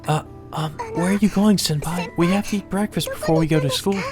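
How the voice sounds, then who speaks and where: A sick young woman from a visual novel, played by a man, speaks in a soft, high, hesitant voice. She is meek and polite, yet stubborn about being fine. Faint music plays behind the voice. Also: brisk, lightly acted. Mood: meek, confused.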